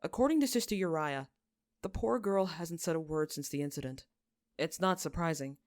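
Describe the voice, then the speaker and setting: A woman's voice: low voice, normal speed, darkish tone, no room sound, clean studio sound. A woman reading scripted story narration, in the manner of an audiobook. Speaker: fan fiction narrator.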